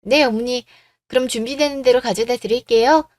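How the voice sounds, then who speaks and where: A woman plays a composed young bride-to-be in a radio drama. The voice is fairly high and polite, recorded very dry. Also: steady tone, brisk, a little mellow, dry recording, natural voice. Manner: courteous, composed. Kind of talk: Korean radio story drama.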